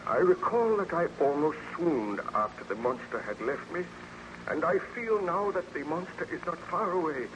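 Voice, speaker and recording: A man's voice: fairly high pitch, lively intonation, normal speed, natural voice. A man playing a haunted, fearful baron in an old-time radio drama, earnest and melodramatic. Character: haunted, fearful baron obsessed with destroying his creation. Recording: noisy old radio recording.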